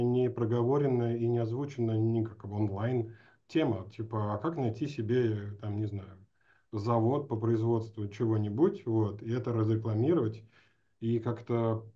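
A man's voice: mid-range voice, moderate pace, dark tone. A middle-aged man hosting a casual interview over a video call. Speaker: interview host. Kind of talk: casual chat. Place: small room indoors, at a desk. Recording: slightly dull video-call audio.

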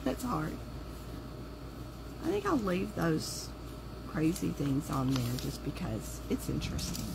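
A woman's voice: low voice, vocal fry, very noisy. A woman with a sore throat, chatting casually while she works on a craft project at home and thinking aloud as she goes. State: sore throat, voice lower than usual. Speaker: craft video host. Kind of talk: casual crafting livestream chat. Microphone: overhead camera on the table, hands only in frame.

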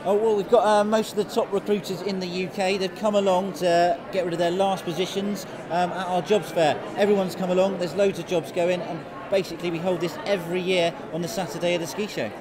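A man's voice: high-pitched, level tone, brisk, hardly any pauses. A man organising a jobs fair, explaining it in an upbeat, casual way in a busy exhibition hall. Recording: crowd noise behind.

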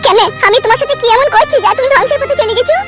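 An extremely high, squeaky cartoon village wife, talking quickly over loud music. She is aggrieved and plaintive, always nagging. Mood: hurt, nagging. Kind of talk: comedy cartoon dubbing.

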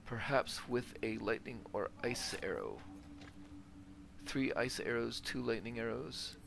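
Man, creaky mid-range voice, casually talking himself through a game over faint background ambience. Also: mid-range voice, a little slow, background noise. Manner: thinking aloud. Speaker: gamer narrating own playthrough. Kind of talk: casual gameplay commentary.

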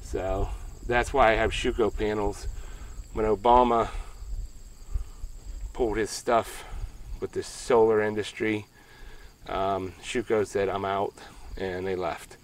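A man's voice: fairly low pitch, little pitch movement, takes it slowly. A middle-aged man from Pennsylvania walking through his solar array outdoors, talking in a plainspoken, rambling way and correcting himself as he goes. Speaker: homestead solar vlogger. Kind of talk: casual walkthrough vlog. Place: outdoors by a ground-mounted solar array in a rural field.

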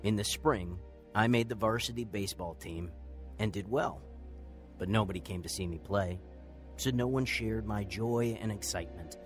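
A man's voice: on the low side, normal speed, faint music behind, lightly acted. A man acting as narrator of a radio drama, playing someone earnestly recalling his bitter, lonely youth. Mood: reflective. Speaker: narrator and lead actor. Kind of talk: scripted first-person radio drama narration.

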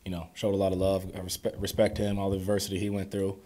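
A young man in his twenties answering reporters at a postgame press conference, calm and measured with many "you know"s, speaking into podium microphones. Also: deep voice. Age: 20s. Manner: calm, measured, filler-heavy replies. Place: press conference room before a sponsor backdrop.

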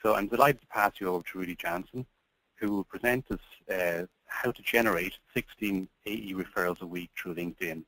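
A man's voice: low voice, brisk, fairly bright tone, dry recording, low-quality recording. A man hosting a webinar who reads prepared points and then politely introduces the next speaker. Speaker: webinar host. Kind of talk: scripted webinar presentation.

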